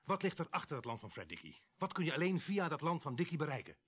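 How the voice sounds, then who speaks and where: Gruff, husky police sergeant. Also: male voice, fairly high pitch, big pitch swings, quick pace, a bit bright, exaggerated voice. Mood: probing. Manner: blunt, grumbling, swearing. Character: gruff male police sergeant, suspicious and blunt. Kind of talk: Dutch radio drama acting.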